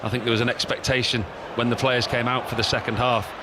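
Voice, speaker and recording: A man's voice: mid-range voice, flat delivery, fast talker. A man giving thoughtful co-commentary on a football match, talking through tactics. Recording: stadium crowd and noise behind.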